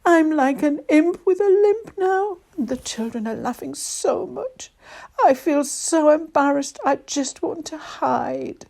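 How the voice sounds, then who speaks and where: A woman voicing a small, excitable male elf who exclaims in an urgent, fretful way. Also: high voice, measured pace, creaky, few pauses, pitched above the performer's own voice, full character voice. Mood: upset, embarrassed.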